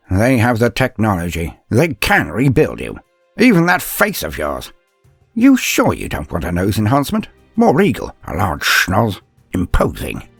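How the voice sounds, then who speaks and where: Man voicing a gruff, husky older inquisitor. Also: lowish voice, no echo, exaggerated voice. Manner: hesitant euphemisms, throat-clearing, teasing bluntness. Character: gruff older male inquisitor, blunt, worldly, fatherly mentor.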